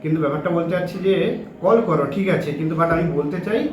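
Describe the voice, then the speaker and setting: A young man talks quickly on a phone call, in a slightly high voice. He is insistent and circles back on his point in casual, confrontational talk. The room echoes and there is clear background noise. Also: darkish tone. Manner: insistent, repetitive. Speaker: prank caller.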